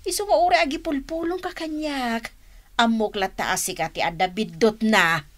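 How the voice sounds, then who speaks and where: A woman's voice: a little high, moderate pace, close to an everyday voice. An actress with an Ilocano accent playing a fussy, frank elderly mother-in-law in a radio comedy drama. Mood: chatty, approving. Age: older.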